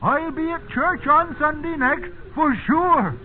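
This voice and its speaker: An actor playing a cantankerous, grumbling old farmer with a rural English accent in a 1950s radio drama. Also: male voice, extremely high pitch, slow. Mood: crotchety, irritated. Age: older. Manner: grumbling, rustic. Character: cantankerous old English turnip farmer, plain-spoken and stubborn. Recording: tinny, noisy 1950s radio recording.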